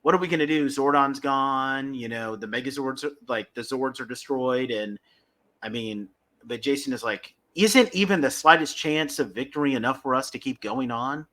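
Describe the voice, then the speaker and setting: A man's voice: medium pitch, normal speed, pushed and bright. A man hosting a fan podcast, casually recapping and reviewing episodes with enthusiasm. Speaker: podcast host.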